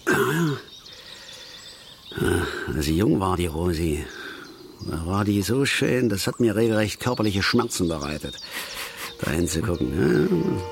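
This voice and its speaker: An actor playing a middle-aged angler in a radio drama, bitter, opinionated and self-pitying, with a grumbling, rambling, sardonic and colloquial delivery. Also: male voice, low-pitched, lively intonation, a little slow, vocal fry, pauses often, slight background noise, exaggerated voice. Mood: indignant, sarcastic. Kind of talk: naturalistic German radio drama acting.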